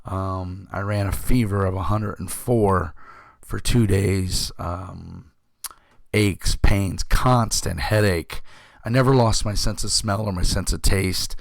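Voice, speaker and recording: Host, fifties, man; very deep gravelly voice, hoarse, rambling casual chat. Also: fairly even intonation, slow pace, no room sound, studio quality. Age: 50s. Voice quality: rough, gravelly voice. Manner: rambling, many fillers and throat clearing. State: hoarse, recovering from illness. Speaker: tabletop game channel host.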